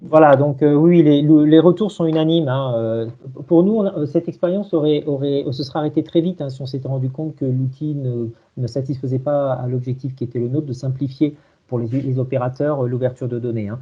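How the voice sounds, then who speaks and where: A man's voice: medium pitch, steady tone, brisk. Man presenting on a dull, lo-fi call. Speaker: presenter of a software tool. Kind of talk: informal technical demonstration. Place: remote video conference.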